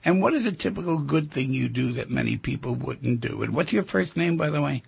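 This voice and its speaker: Gravelly radio host with a lively voice. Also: male voice, mid-range voice, a little fast, highs cut off. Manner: gentle, probing questions to callers. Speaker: radio talk show host. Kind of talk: call-in radio show.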